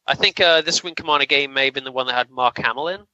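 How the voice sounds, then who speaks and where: Bright-voiced man, dull recording. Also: mid-range voice, fast talker, no echo. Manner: informative, explaining trivia in detail. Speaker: podcast co-host. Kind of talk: casual film review chat.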